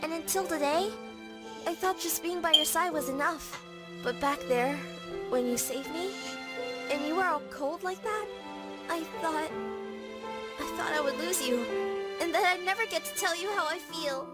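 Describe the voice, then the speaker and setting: A woman's voice: very high-pitched, normal speed, long pauses. A woman voicing a shy, lovestruck schoolgirl in a visual novel, speaking hesitantly. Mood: bashful, earnest. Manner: hesitant, stammering. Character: shy, tearful anime schoolgirl in love with childhood friend. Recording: background music.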